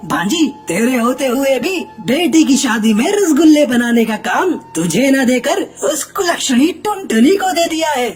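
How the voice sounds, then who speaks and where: A woman puts on a tattling crow uncle for a children's cartoon. The voice is high and very animated, exaggerated and goading, with faint music underneath. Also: measured pace. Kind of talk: Hindi children's cartoon acting.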